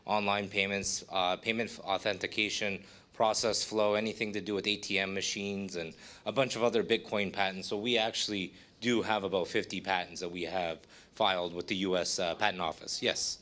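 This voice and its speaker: Co-founder fielding questions, deep bright voice, brisk listing. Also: male voice, steady tone. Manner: listing items quickly. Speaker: startup co-founder. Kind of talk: answering panel questions. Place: conference room.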